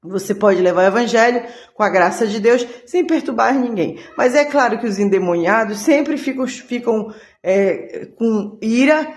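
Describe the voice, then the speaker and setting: A woman giving an earnest religious monologue as a channel host, halting often and restarting her words. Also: a little high, reverberant.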